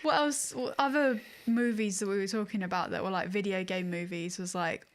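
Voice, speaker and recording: A woman's voice: mid-range voice, steady tone, fairly quick. A woman talking casually as the co-host of a podcast about games and films.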